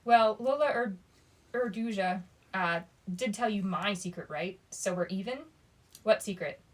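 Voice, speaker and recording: A woman's voice: mid-range voice, a little slow, close to an everyday voice. Woman narrator as sixteen-year-old girl, storybook heroine, bold, curious, cynical, casual. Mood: awkward, bold.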